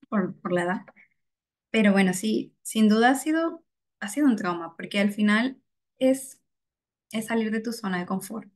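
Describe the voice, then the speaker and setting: A young woman with a Venezuelan accent speaks as a podcast guest, reflecting openly and filling pauses with "bueno" and soft laughs. Her voice is middle-pitched and even. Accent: Venezuelan Spanish. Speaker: guest, data scientist. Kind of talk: casual interview.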